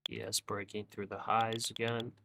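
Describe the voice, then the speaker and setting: A young man hosting a live trading stream, chatting casually with viewers in a monotone voice and calling them bro and dude. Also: fairly low pitch, a little slow, creaky, background noise. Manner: says his own voice is monotone. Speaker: livestream trading host.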